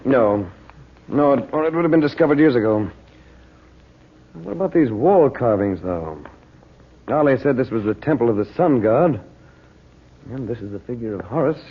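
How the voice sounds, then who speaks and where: An actor playing a calm, determined, commanding adventurer uncle in a vintage radio drama. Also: male voice, mid-range voice, normal speed, pauses often, husky. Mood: intent, searching. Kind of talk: 1940s radio drama acting. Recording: muffled old radio recording.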